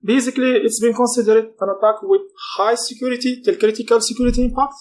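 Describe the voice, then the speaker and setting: A man in his thirties presents a technical talk at a conference. His voice sits fairly high, and his delivery is halting as he often stops mid-sentence to find the right word. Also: steady tone, a little mellow. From Morocco. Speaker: conference speaker.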